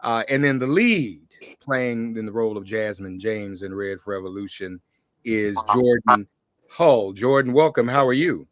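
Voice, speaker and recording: A man's voice: on the low side, measured pace, a bit bright, no echo. A man hosting a conversational interview show, welcoming guests and addressing them directly. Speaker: podcast host interviewing guests.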